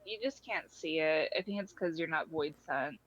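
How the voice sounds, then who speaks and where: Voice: medium pitch, moderate pace, a bit bright, muffled recording, close to an everyday voice. A performer playing the Void, a laid-back, mildly annoyed cosmic entity, casual and dry, in an audio drama. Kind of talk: hobby audio drama dialogue.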